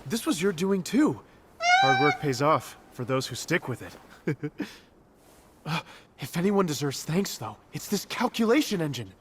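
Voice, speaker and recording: A man's voice: a little high, very animated, unhurried, background noise. A man playing a helpful, modest craftsman friend in a video game, earnest and a little hesitant as he explains things. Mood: modest, cheerful. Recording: loud background music.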